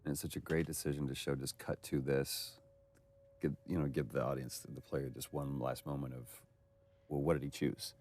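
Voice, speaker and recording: A man's voice: very deep voice, level tone, moderate pace, a little mellow, slight background noise. A man, an actor giving casual, reflective commentary on a game he performed in. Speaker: game's lead voice actor.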